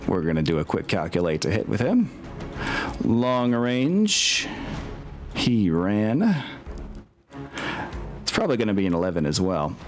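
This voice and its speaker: Low-pitched man running a tabletop game. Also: very animated, measured pace, pauses often. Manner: informal, thinks aloud. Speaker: game master, host. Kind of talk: casual narration and rules talk for a tabletop game. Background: music.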